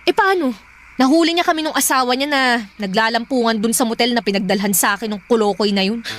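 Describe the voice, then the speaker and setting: Woman acting a brash, high-voiced Filipino older sister. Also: quick pace, close to an everyday voice. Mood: defiant, unbothered. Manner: dismissive, sharp-tongued, taunting. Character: brash, shameless older sister, bar worker and mother. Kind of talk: Filipino radio drama acting.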